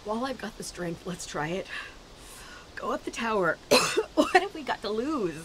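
A daring, ailing female survivor in an audio drama. Her voice is fairly high and very animated, insistent and wry, over clear background noise. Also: normal speed, poor audio. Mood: urgent pleading. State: sick, coughing. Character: sick, stubborn, daring woman survivor pleading for escape.

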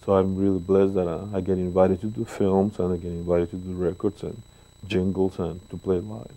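A man in his sixties from Mexico with a Mexican Spanish accent, telling stories with pauses and fillers as an interview guest in a living room, speaking into a lapel mic. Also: deep voice, flat delivery, rough recording. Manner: warm, storytelling, pauses with fillers. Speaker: guest bass player. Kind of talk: relaxed interview talk. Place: living room, seated on a sofa.

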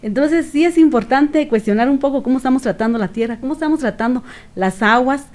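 A middle-aged woman with a Guatemalan accent giving earnest, reflective interview answers in a measured way, with pauses. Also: high voice, darkish tone. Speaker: activist guest. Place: small radio studio with several people. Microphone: studio microphone on stand.

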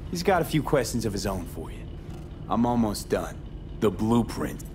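Low-voiced blunt mercenary V. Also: male voice, sing-song, normal speed, over music. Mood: impatient. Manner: blunt, terse, profane. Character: hard-bitten young male mercenary, blunt and weary. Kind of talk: video game dialogue acting. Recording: game ambience and traffic noise behind.

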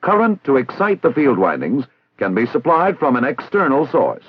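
An American man reading scripted instructional narration in a formal, measured, didactic way, as on a 1960s training film soundtrack. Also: mid-range voice, sing-song, slightly rough, highs cut off. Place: recorded voice-over.

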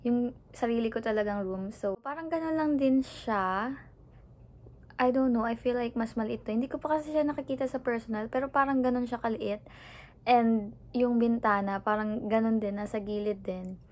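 A woman's voice: on the high side, brisk. A young woman from the Philippines with a Filipino accent, chatting casually in a home vlog and mixing Tagalog with English. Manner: chatty, switching between Tagalog and English mid-sentence. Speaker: vlogger. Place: apartment at home.

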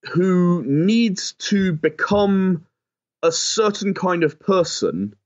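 Man, interview guest, creator explaining his projects, slightly high voice, dull muffled recording. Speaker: game creator and producer being interviewed.

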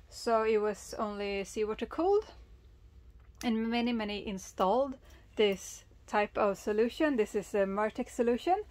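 Higher-voiced woman vlogging. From Sweden. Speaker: sailing vlogger. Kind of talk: casual explanatory chat to camera. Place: small sailboat, in cabin and covered cockpit, and outdoors at a marina.

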